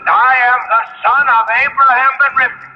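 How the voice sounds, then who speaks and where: On a dull, noisy old radio recording, a restless young spirit. The voice is very high and held on one level as it makes declamatory, vengeful demands in a horror drama. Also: male voice, steady tone, a little fast. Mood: accusing. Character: young man's restless spirit possessing a woman, defiant, vengeful.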